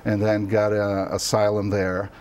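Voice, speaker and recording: Low-voiced middle-aged Cuban-born man telling stories. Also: completely flat delivery, unhurried, darkish tone, slight background noise. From born in Cuba, raised in the New York area from childhood. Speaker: author, interview guest. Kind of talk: casual personal storytelling in an interview. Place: TV studio.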